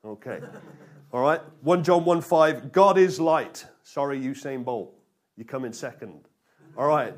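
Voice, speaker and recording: A man preaching an informal sermon to a congregation, colloquial and jokey, often checking in with short questions. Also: mid-range voice.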